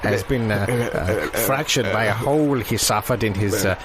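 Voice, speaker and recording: A man playing an earnest sports expert giving halting, deadpan mock commentary. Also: fairly low pitch, a loud crowd, lightly acted. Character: earnest male sports expert on absurd rock tossing.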